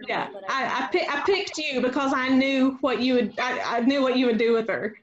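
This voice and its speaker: High-voiced woman on a dull video call. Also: normal speed, crowd noise. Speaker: host of a virtual table read. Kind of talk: casual group video-call chat.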